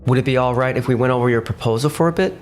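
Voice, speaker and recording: Manga dub lead: male actor as measured, sensible young boss and narrator, mid-pitched voice over faint music. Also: natural voice. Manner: measured, composed. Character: level-headed, cautious young male company boss and narrator. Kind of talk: manga dub dialogue and first-person narration. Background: faint background music.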